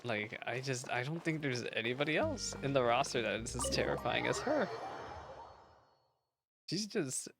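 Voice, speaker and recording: Girl reacting to a game, really low voice, swoopy intonation, game music behind her. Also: animated, normal speed, pauses often. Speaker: gaming streamer. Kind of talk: casual playthrough reaction commentary.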